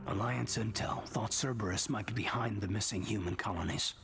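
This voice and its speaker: A man's voice: lowish voice, fairly quick, creaky, music in the background. An actor playing a stern male soldier who feels betrayed, speaking in a hurt, accusing, controlled way. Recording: game audio with loud score behind.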